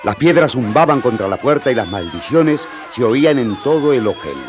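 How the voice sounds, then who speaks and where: A man's voice: mid-range voice, animated, normal speed. A man narrating a radio drama as a vivid, dramatic storyteller. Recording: crowd noise behind.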